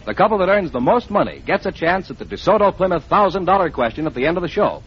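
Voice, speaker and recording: A man's voice: high voice, sing-song, quick pace, slightly rough. A man announcing on vintage radio, reading scripted copy in a polished mid-century advertising style. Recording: dull vintage radio recording.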